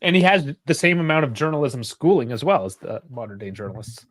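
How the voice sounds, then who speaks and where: A man's voice: mid-range voice, animated, fast, a bit bright, no room sound. A man co-hosting a sports podcast, teasing the others with sarcastic remarks. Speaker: podcast co-host. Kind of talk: casual sports podcast banter.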